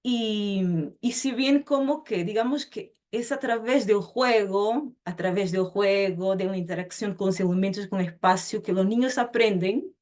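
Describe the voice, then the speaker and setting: A woman's voice: medium pitch, moderate pace, a little mellow. Portuguese-accented woman presenting, hesitant. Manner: hesitant, many fillers and restarts. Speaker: presenter of an education project. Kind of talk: casual online presentation.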